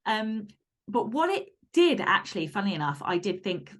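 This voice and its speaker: A woman speaking as a guest author and podcaster in casual interview chat, candid, animated and emphatic. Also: mid-range voice, moderate pace.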